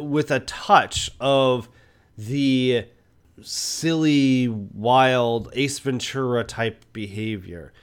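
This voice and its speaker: A man speaks in a medium-pitched voice as a podcast co-host. He reviews films casually, thinking aloud in a reflective, conversational manner. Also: smooth. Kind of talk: casual film review chat.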